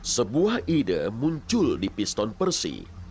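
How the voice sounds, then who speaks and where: A man's voice: lowish voice, wildly swooping pitch, slow pace, darkish tone. A man narrating a scripted children's story expressively. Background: music.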